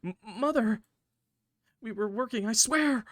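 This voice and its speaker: A man's voice: very high-pitched, a little slow, fairly bright tone, dry recording, studio quality, pitched above the performer's own voice. A man voicing an anxious young girl in a visual novel, sarcastic and exasperated, with pleading moments. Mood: frightened.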